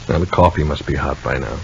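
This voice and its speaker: An actor playing a calm, gently bossy man who soothes and coaxes in a 1950s radio soap opera. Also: a bass voice, gravelly, breathy edge, exaggerated voice. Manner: soothing, coaxing, conversational. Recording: noisy, dull vintage radio recording.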